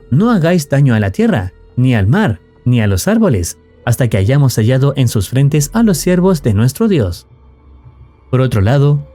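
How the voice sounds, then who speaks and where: Guy reading a scripted story recap, formal and measured, soft mellow tone, pretty lively intonation. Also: mid-range voice. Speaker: narrator of horror video recaps. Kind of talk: scripted narration. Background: music.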